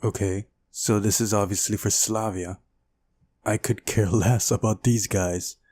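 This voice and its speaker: A man's voice: fairly low pitch, steady tone, a little slow, a little mellow. A man reading a visual novel aloud during a playthrough, narrating the story and adding casual asides of his own.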